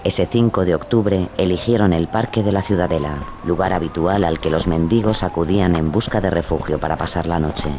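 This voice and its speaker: Very deep Spanish male storyteller. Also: a little fast, few pauses. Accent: Spain. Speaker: case narrator. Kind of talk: scripted true-crime storytelling. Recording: clear background ambience noise.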